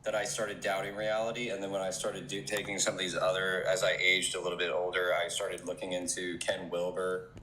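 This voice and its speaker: A man calling in to a live discussion, speaking in a halting, reflective way and searching for his words as he talks. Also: low voice, flat delivery, pushed and bright. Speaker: guest caller. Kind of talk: casual conversation. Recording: echoey room with faint background hum.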